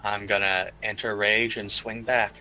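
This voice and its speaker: A man's voice: fairly low pitch, level tone, measured pace, pushed and bright, faint music behind, low-quality recording. A man playing a tabletop roleplaying game, talking in casual, cocky, informal banter.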